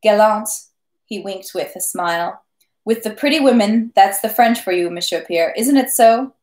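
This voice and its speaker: A woman's voice: medium pitch, normal speed, roomy sound, lightly acted. A woman voicing a merry, good-natured French army captain who brags ceaselessly about his battles. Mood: jovial. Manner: lively, talks ceaselessly, good-natured bragging. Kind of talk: audiobook character reading.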